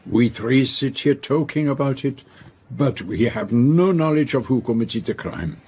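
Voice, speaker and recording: An actor playing an urbane, enigmatic Belgian detective in a radio drama, formal and deliberate, with a Belgian French accent. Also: male voice, medium pitch, animated, breathy edge. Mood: quietly knowing. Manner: formal, deliberate, quietly knowing.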